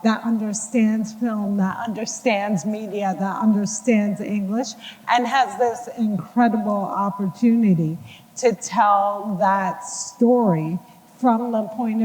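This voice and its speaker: A woman speaking on a town hall panel in a measured, emphatic way. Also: mid-range voice, darkish tone. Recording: hall reverb.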